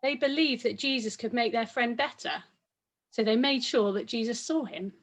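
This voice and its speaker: Woman, children's story presenter, chatty, simple wording, addressing young listeners, slightly high pitch. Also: moderate pace.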